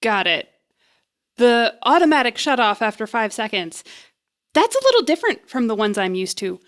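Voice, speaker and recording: A woman playing an awkward, bluffing young repair worker in a comedy audio drama, halting and wryly correcting herself. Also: on the high side, sing-song. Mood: nervous, eager. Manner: halting, self-correcting, wry.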